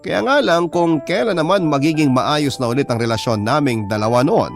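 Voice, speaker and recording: A man's voice: on the high side, moderate pace, creaky, soft background music. A man narrating a radio drama, reading out a personal letter as a story.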